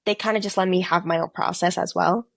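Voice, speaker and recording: A teenage girl, an exchange student, telling her story in a casual interview, animated and quick to quote people. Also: lowish voice, gravelly, no echo, studio quality. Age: teens.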